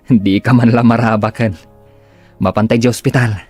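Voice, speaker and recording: A man's voice: medium pitch, steady tone, unhurried, darkish tone, close to an everyday voice. An actor with a northern Philippine accent playing a moody, weary male patient with a subdued, dry manner in a radio drama. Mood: dryly joking. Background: music.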